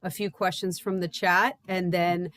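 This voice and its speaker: A woman moderating a panel, brisk and friendly as she keeps an eye on the time. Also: on the low side, level tone, fairly bright tone, no echo.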